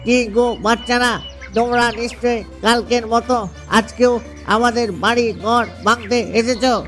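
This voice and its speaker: A man's voice: very high-pitched, monotone, normal speed, a bit bright, faint music behind. A man voicing a cautious, worried father gander in a cartoon story. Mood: resolved, wily.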